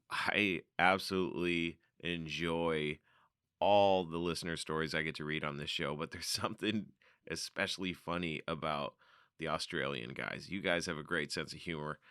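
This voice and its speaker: A man's voice: low-pitched, steady tone, a little slow, sharp tone, clear, resonant tone, no echo, clean studio sound. A man hosting a car podcast, reading out listener letters and chatting about them in a casual, laughing way. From North America. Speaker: podcast host.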